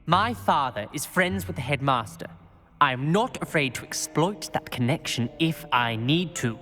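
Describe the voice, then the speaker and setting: A man's voice: fairly high pitch, expressive, moderate pace, bright, forceful tone. A man voicing a suspicious, haughty teenage wizard schoolboy in a video game, with a clipped, accusatory, imperious manner. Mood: threatening. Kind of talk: dramatic video game dialogue. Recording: long reverb with music behind. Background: music.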